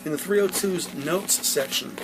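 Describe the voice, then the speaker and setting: A man with a somewhat high voice narrates a crime audio drama. He tells it dramatically and in careful detail, over clearly audible music. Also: normal speed, low-quality recording.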